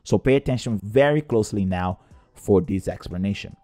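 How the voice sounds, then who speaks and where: A man's voice: on the low side, fairly quick, mellow, no room sound, soft background music. A man narrating a scripted step-by-step coding tutorial in a methodical, explanatory way. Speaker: tutorial presenter.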